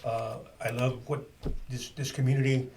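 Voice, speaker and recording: An older retired man, a guest in a casual interview, speaking haltingly with many uhs. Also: medium pitch, flat delivery, darkish tone, far from the mic, a little hiss or hum. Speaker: guest, club member.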